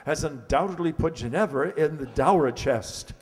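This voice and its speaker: A man playing a genial, exclamatory lord with a husky voice in an amateur radio play. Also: on the high side, lively intonation, normal speed, a little mellow. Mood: alarmed. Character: excitable, genial English lord and host. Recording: reverberant hall-like radio play recording.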